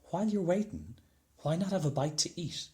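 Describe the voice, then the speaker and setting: A man voicing a giant's wily wife in a storybook, sweet and coaxing with a calm cunning. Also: fairly high pitch, moderate pace, voice pushed up. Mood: sweetly needling. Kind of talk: storybook character voice.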